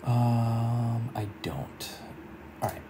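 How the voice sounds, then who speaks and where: A man presenting an informal screen-share software tutorial, explaining things and thinking aloud as he works. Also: medium pitch, drawn-out words, noisy background. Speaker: car audio tutorial presenter.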